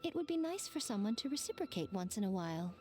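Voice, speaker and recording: A woman's voice: medium pitch, very animated, fast, darkish tone, faint music behind, natural voice. A woman voicing a poised, courteous, teasing young lady in an anime game. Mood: teasing, polite.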